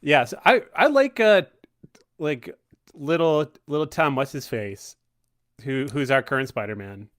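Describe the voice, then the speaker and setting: A man chatting casually on a podcast, rambling and halting, with a tongue-in-cheek way of talking. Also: on the high side, animated, fairly bright tone. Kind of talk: casual movie chat.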